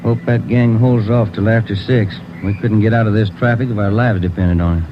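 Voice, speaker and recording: A man's voice: fairly low pitch, steady tone, fairly quick, lightly acted. A man playing a plain-spoken police detective in a 1940s radio drama, conversational and businesslike. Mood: mildly grumbling. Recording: muffled noisy old radio recording.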